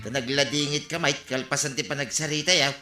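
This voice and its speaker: A man's voice: fairly high pitch, fairly even intonation, quick pace, loud music behind the voice, natural voice. A man playing a chatty village neighbour with an Ilocano accent in a radio comedy drama. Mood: inquiring. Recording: roomy, echoing studio sound.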